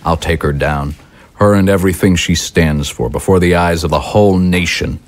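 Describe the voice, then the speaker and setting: A man acts out a fiery political movement leader in an audio drama. His voice sits on the low side, and he talks with combative, oratorical emphasis, like someone used to commanding a room. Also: normal speed, lightly acted. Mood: combative, irritable. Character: fiery, short-tempered right-wing political broadcaster and movement leader. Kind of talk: dramatic audio screenplay acting.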